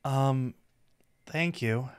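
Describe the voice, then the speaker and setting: A man's voice: medium pitch, extremely slow, smooth, no room sound, clean studio sound, voice pushed up. A man voicing a shy, curious rabbit-eared student who narrates a visual novel in a hesitant, wry way. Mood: timid.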